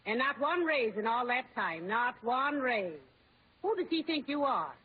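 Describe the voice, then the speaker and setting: An actress playing a bossy, well-meaning American housewife who nags insistently in an old-time radio comedy. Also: female voice, fairly high pitch, big pitch swings, a little slow. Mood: insistent prodding. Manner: scolding, insistent. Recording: tinny, noisy old radio broadcast.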